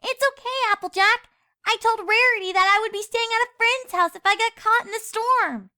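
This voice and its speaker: A woman's voice: very high-pitched, moderate pace, sharp tone, pitched above the performer's own voice. A woman voicing a small, sweet, prim and skeptical filly in a cartoon fan story, speaking politely and precisely. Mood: reassuring.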